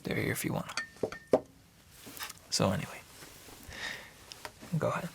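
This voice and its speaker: A man's voice: a little slow, background noise, close to an everyday voice. A man playing a friendly, nosy bartender in an ASMR noir radio drama, talking in a hesitant, chatty half-whisper full of uhs. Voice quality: gravelly low whisper.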